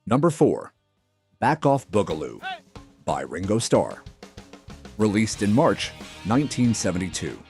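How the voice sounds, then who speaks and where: A man's voice: lowish voice, big pitch swings, measured pace, pauses often, darkish tone. A man reading a scripted music countdown as its narrator.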